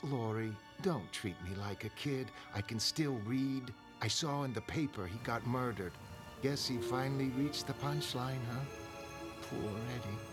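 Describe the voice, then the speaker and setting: A man voicing an elderly, chatty, wistful mother in a comic-book drama, with a folksy, fussing, drawn-out manner. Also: medium pitch, lightly acted. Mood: weary, resigned. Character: elderly mother, wistful, chatty, forgiving former glamour woman. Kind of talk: dramatic comic-book narration in character voices. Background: music.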